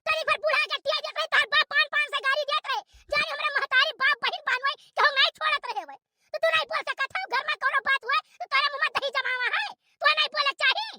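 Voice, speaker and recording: Woman doing shrill scolding old mother-in-law. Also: fairly even intonation, fast talker, pushed and bright, dry recording, clean studio sound, heavily acted. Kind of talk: cartoon voice acting.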